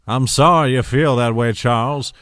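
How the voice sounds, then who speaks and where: A man's voice: mid-range voice, normal speed, fairly bright tone, dry recording, lightly acted. An actor playing a scheming, domineering father in an audio drama, controlled and condescending. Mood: cold, menacing.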